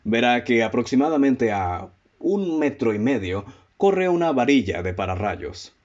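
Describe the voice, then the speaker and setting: A Mexican man acting in a student radio drama as a self-assured, methodical amateur detective who speaks in a formal, deliberate, theatrical way. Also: mid-range voice, sing-song, a little mellow. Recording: dull, muffled lo-fi audio.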